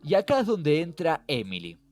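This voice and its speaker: Male podcast host, Rioplatense Spanish accent, animated intonation, engaging and questioning, chatty explainer over background music. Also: a little high, moderate pace. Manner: engaging, asks rhetorical questions. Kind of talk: casual conversational podcast explainer. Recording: music behind.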